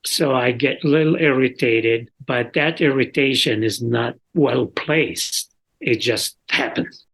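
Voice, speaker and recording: An older man, a father talking casually and reflectively in an interview, halting, with non-native English phrasing. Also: medium pitch, gravelly, a bit bright, husky, poor audio.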